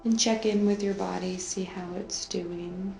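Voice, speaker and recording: Slow, creaky-voiced woman leading meditation. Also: mid-range voice, fairly even intonation. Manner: soothing, gently drawn-out with repeated phrases. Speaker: guided meditation leader. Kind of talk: slow guided meditation, unscripted. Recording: roomy echo, faint music underneath.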